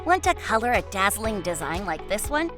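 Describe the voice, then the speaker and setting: A woman's voice: mid-range voice, sing-song, moderate pace, lightly acted. A woman voicing a bubbly cartoon girl in a promotional ad, who talks in a perky way. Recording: music underneath.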